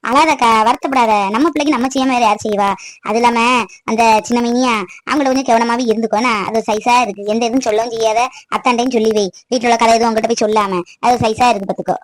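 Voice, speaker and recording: A woman's voice: a little high, fairly even intonation, fast, no room sound, close to an everyday voice, poor audio. A woman plays a fussing, protective aunt of middle age in a family drama. She is chatty and insistent, with a southern Tamil Nadu accent and a dry, close sound. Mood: consoling, fussing.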